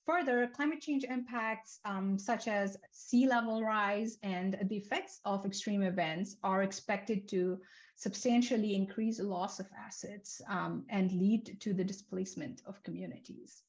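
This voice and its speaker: A young woman from Nepal presents a research seminar in a steady, middle-pitched voice. She moves through her points one by one and drops in small fillers along the way. The recording sounds a little dull and lo-fi. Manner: measured, list-like delivery with frequent um and uh fillers. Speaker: seminar speaker, climate researcher. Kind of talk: academic seminar talk. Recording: slightly dull lo-fi lecture audio.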